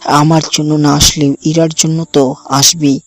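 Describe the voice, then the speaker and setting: A man's voice: a little high, flat delivery, unhurried, fairly bright tone, dry recording. A man playing a possessive, threatening young campus bully in an audio drama, speaking with commanding contempt. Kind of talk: audio story acting.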